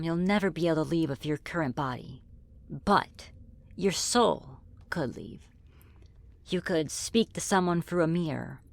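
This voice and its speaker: Low-voiced, gentle friendly demoness played by a woman. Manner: gentle, reassuring, conversational with hesitant pauses. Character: friendly, flirty, apologetic female demon ruling her own realm. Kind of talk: ASMR roleplay spoken to the listener.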